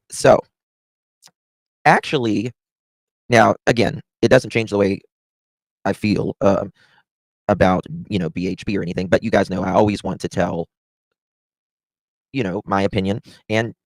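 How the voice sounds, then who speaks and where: A man hosts a casual livestream in a slightly low voice. He talks in a chatty, halting way and often repeats a phrase to stress it. The sound is very dry, with little trace of the room. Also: dry recording. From Southern United States. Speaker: livestream host.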